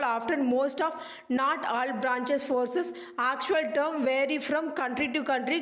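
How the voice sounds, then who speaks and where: A woman reading a scripted lesson aloud as a lecturer, in a halting, choppy, phrase-by-phrase way. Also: sits high. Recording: echoey room.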